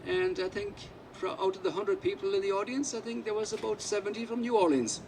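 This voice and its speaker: A man's voice: high-pitched, lively intonation, fairly quick, a little mellow, far from the mic, a little hiss or hum, poor audio. An Irish man whose first language is Irish Gaelic, a musician telling rambling anecdotes at a press conference. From Ireland.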